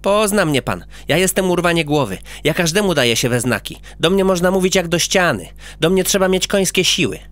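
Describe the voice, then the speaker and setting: A man voicing a cheeky, boastful little boy who prattles eagerly and mischievously in a high childlike voice. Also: lively intonation, fairly quick, few pauses, fairly bright tone, no echo.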